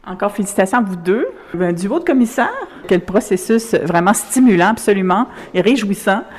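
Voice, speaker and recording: Woman radio host, enthusiastic and effusive, mid pitch, reverberant gallery room. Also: expressive, a little fast, darkish tone. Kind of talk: on-site radio interview. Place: art gallery.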